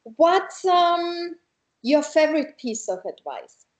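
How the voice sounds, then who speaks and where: Higher-voiced woman asking quick friendly interview questions. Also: sing-song. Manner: friendly, brief prompts and questions.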